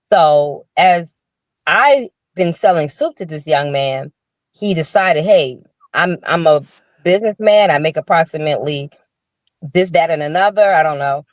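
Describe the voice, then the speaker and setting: A woman's voice: sits low, no echo. An older woman and restaurant owner, a guest telling her story in an earnest, casual way with frequent restarts over a video call. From Chicago. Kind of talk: casual interview talk.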